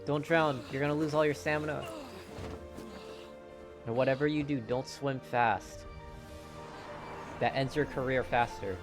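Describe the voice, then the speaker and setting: Streamer: medium pitch, informal, reactive live game commentary, clear music bed. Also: fairly even intonation, unhurried, long pauses. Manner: informal, reactive, speaks in short asides. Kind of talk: casual livestream game commentary.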